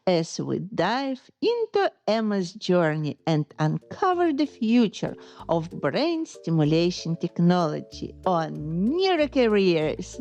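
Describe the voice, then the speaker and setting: Animated, slow-spoken woman podcast host. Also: a little high. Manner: measured, formal phrasing of questions. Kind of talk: podcast interview and scripted intro.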